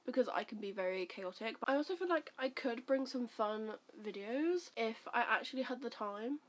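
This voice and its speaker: A woman's voice: a little high, animated, moderate pace. A woman in her twenties, stuffy and nasal from the flu, rambling casually as she does her makeup and answers questions for her channel. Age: 20s. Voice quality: nasal, congested. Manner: rambling, self-deprecating, chaotic. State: recovering from flu. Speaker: vlogger. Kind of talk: chatty get-ready-with-me vlog. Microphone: camera facing her while doing makeup at a mirror.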